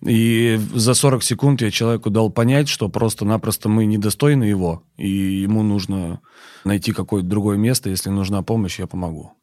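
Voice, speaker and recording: Guy chef on a podcast, lowish voice, dry studio sound, matter of fact. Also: fairly even intonation, brisk, darkish tone, no echo. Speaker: chef and restaurant employer, podcast guest. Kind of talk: podcast interview talk.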